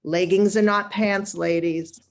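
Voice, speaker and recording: A woman's voice: medium pitch, steady tone, a little slow. Chatty Boston-accented woman stylist. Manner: chatty, emphatic, laughs easily. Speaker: wardrobe stylist guest. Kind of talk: casual live webinar talk.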